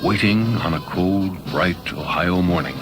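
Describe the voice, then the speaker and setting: A man's voice: low-pitched, unhurried. A man reading a short story as a dramatic narrator. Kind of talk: dramatic scripted narration over music. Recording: loud music bed behind voice. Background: music.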